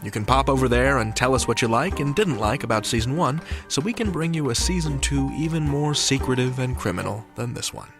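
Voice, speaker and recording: A man's voice: medium pitch, animated, brisk, few pauses, over music. A man hosting a podcast in a polished, playful presenter style. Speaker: podcast host. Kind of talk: interviewing and scripted show outro.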